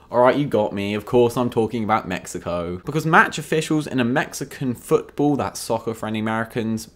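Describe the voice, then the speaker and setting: Sarcastic young English man vlogging. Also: mid-range voice. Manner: sarcastic, mock-outraged. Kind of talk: comedic news commentary.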